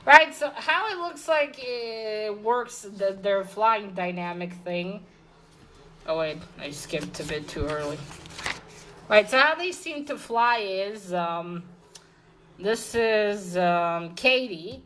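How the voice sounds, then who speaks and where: A woman's voice: medium pitch, animated, slow pace, a bit bright, poor audio. A woman giving a casual, unscripted comic book review, rambling and hesitant, correcting herself as she goes, with blunt informal language.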